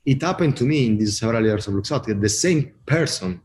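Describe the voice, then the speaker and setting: A man with an Italian accent answers interview questions in a loose, halting way, stopping often to restart his sentences. His voice sits a little low. A faint hiss of noise runs under the recording. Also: expressive, darkish tone. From Italy. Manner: halting, many fillers and restarts. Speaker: brand marketing guest. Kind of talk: casual interview talk.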